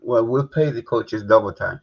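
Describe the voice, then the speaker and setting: Male host, loose and chatty, slightly husky, dull stream sound. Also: medium pitch, moderate pace. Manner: relaxed, rambling with fillers, laughs easily. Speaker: talk show host. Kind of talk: casual live-stream chat.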